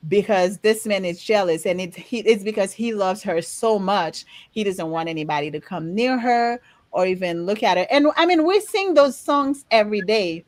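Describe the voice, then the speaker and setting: A woman in her forties with a Haitian accent, co-hosting a podcast and telling frank, animated stories with frequent laughter. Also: medium pitch, no echo. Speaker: podcast co-host. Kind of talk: candid conversational podcast chat.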